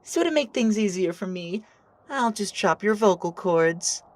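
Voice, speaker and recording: A woman playing a smug, condescending female villain in an animated action story, with theatrical, drawn-out delivery. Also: mid-range voice, no echo, lightly acted. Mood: mocking. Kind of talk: amateur animated action voice acting.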